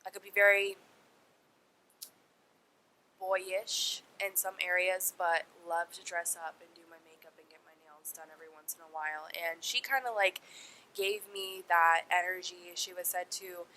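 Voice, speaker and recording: A woman's voice: fairly even intonation, moderate pace, pushed and bright. A young woman with a nasal, congested voice from a cold and sniffles, telling a true crime story casually and informally to her viewers. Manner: informal, sweary, talks to viewers as friends. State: sick with a cold, sniffling, dry sore throat. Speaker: host of a true crime video series. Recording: poor mic with clear noise and rumble.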